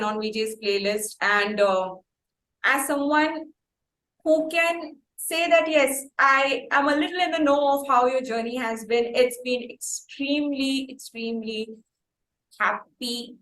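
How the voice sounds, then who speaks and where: A woman with an Indian accent hosting a casual interview, gushing and halting as she repeats her phrases. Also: a little high, fairly bright tone. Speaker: interview show host. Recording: roomy, echoing sound.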